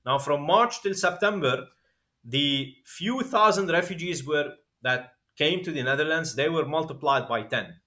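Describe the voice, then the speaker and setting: A man from Syria with an Arabic accent and Arabic as his first language, a podcast guest telling a personal story vividly and acting out the dialogue, with frequent filler pauses. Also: a little high, expressive, pushed and bright. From Syria, born in Kuwait.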